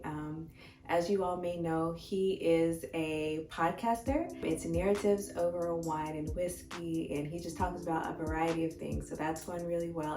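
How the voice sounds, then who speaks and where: Casual vlogger, American woman, lowish slightly raspy voice, expressive, recorded away from the mic. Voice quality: a little raspy. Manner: informal, expressive, talks directly to viewers. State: slightly hoarse. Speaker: vegan food vlogger and host. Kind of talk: casual chatty life-update vlog. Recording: echoey room, distant mic, faint music.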